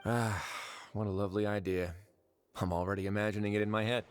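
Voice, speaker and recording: An actor playing a composed, wry young duke in a video game, speaking in a deliberate, measured storytelling manner. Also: male voice, lowish voice, steady tone, close to an everyday voice. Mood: wry, assured. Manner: deliberate, dryly wry, unhurried. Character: composed, wry young duke who runs an underwater prison fortress.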